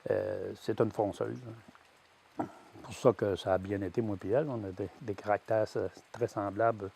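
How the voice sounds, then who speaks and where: A man in his sixties with a Quebec French accent, a farmer talking plainly about his life in an interview, pausing often with fillers and restarting his sentences. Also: on the low side, fairly even intonation, gravelly, warm tone, husky. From Quebec, Canada. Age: 60s. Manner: unhurried, plainspoken, many hesitations and restarts. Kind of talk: interview, personal reminiscence.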